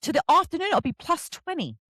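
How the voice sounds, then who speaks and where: Middle aged lady podcast host, highish voice, really lively and chatty, pokes fun at herself, dry sound. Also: brisk, no echo. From England. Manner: animated, self-deprecating storytelling. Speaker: podcast host interviewing an author. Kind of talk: casual chatty interview.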